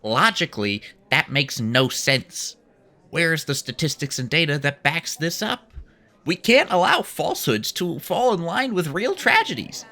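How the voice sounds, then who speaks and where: An actor playing an indignant, principled activist arguing emphatically in an audio drama. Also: male voice, fairly high pitch, very animated, a little slow, bright, forceful tone, exaggerated voice. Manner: emphatic, argumentative. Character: indignant, principled alien-rights activist, sticks to facts.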